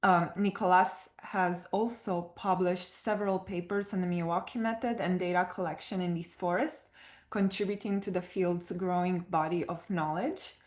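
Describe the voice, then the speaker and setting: A woman's voice: fairly low pitch, steady tone, moderate pace. A woman with a Romanian accent moderating an online panel, reading speaker bios with many fillers and stumbling over names, on a video call.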